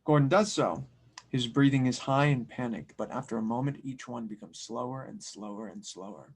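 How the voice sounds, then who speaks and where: A man's voice: mid-range voice, normal speed, darkish tone, slightly rough. A man reading scripted narration and stage directions as the narrator of an audio drama table read.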